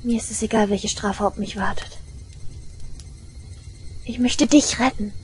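A woman playing an obsessive, unhinged young shrine maiden in an audio drama, intense and deliberate, moving between hushed and frenzied delivery. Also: mid-range voice, steady tone, a little hiss or hum, close to an everyday voice. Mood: hushed, resolute. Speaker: voice actress.

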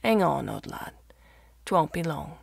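A woman's voice: low voice, very animated, slow pace, gravelly, breathy edge, dry recording, clean studio sound, exaggerated voice. A woman voicing a plain-spoken, good-natured male mammoth keeper from rural Yorkshire in a story, with folksy, clipped phrasing. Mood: reassuring.

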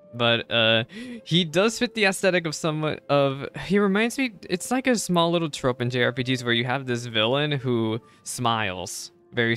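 A young man streaming games, giving casual reaction commentary in a chatty, halting way with repeated words. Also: mid-range voice, bright, forceful tone. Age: young adult. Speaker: game streamer. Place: indoor streaming setup. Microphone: close desk microphone, headset worn.

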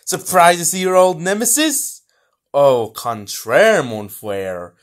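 A man voicing a gloating, hot-tempered cartoon supervillain inventor, melodramatic and taunting in amateur voicelines. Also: medium pitch. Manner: melodramatic, taunting, drawn-out exclamations.

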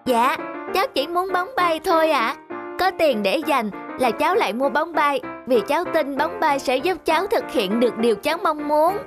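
A woman's voice: sits high, expressive, normal speed. A woman voicing a polite, earnest little boy in a cartoon, full of hope. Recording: music behind the voice.

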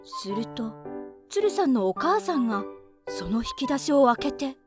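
A woman narrating a children's storybook in an expressive, clearly phrased read-aloud style. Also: high voice, measured pace. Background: children's background music.

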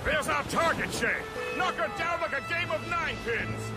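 An actor playing a jaunty, roguish colonial ship's first mate in a video game, glib and theatrical. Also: male voice, very high-pitched, lively intonation, moderate pace. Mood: rousing. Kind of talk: video game cutscene acting. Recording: loud music behind the voice.

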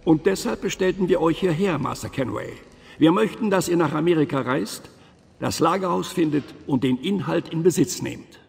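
A man playing a grave, assured gentleman who leads a secret order in a video game, formal, deliberate and authoritative. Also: a little high, lively intonation, creaky. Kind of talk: dubbed video game cutscene dialogue. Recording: long reverberant hall.

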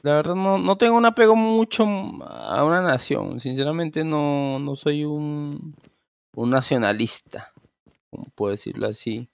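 A man's voice: a little high, lively intonation, unhurried, creaky, dry recording, studio quality. A man in his thirties with a Venezuelan Spanish accent, chatting casually while streaming a game and rambling with easy restarts. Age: 30s. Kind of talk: casual gameplay chat. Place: small room at home. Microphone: headset.

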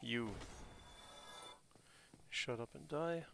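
German man, gravelly game commentary. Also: mid-range voice, very slow, a bit bright, faint music behind. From Germany, Bavaria. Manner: joking, talks back at enemies. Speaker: gameplay commentator. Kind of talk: casual let's-play commentary. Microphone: headset.

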